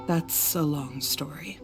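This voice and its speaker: Game role: distressed wife, meek, apologetic, slightly low pitch, light music behind. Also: female voice, gravelly, full character voice. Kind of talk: video game dialogue acting.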